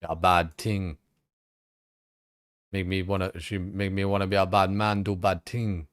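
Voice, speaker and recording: A man's voice: sits low, level tone, a little slow, clear, resonant tone, dry recording, clean studio sound. A man voicing a laid-back male troll with a put-on Jamaican-style patois, drawling and slangy. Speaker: streamer role-playing a character.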